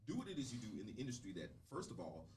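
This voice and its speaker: A man hosting a casual radio interview in a studio, talking loudly and effusively, close on the mic. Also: fairly low pitch, racing, background noise. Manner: loud, effusive, animated. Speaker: radio host.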